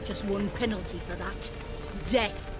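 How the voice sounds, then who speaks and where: A hot-tempered fantasy game bandit. His delivery is aggressive and coarse, with clear music behind it. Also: high voice, fairly even intonation, measured pace. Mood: furious. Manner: aggressive, foul-mouthed. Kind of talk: cinematic fantasy game acting.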